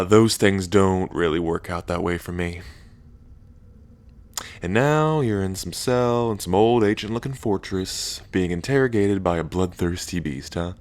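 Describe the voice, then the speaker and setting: A smug, ancient dragon king in human form, played by a man for an ASMR roleplay. His voice is low and unhurried. He drawls and taunts with an amused, regal confidence. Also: heavily acted. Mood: teasing, interrogating.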